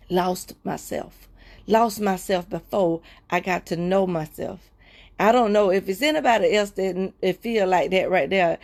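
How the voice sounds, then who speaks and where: A woman hosting a livestream, sharing a heartfelt personal testimony with viewers in a repetitive, preacher-like cadence, often slowing down and dropping to soft murmurs. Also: mid-range voice. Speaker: livestream host.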